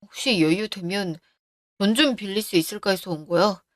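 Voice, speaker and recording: A woman voicing a middle-aged man who is deep in debt and blames others, in a narrated radio story. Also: normal speed, no room sound, clean studio sound, natural voice. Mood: pleading. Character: failing middle-aged man, indebted front-man boss.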